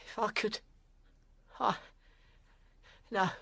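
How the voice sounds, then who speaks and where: A woman playing a frightened young apprentice boy, timid, halting and deferential, in a period audio drama. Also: pushed and bright, slight background noise. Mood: fearful.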